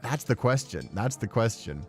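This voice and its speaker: A male streamer chats casually through a visual novel with a playful manner. His medium-pitched voice moves around a lot, and music plays behind him. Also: sing-song, normal speed. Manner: playful, chatty, mildly profane. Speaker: game streamer.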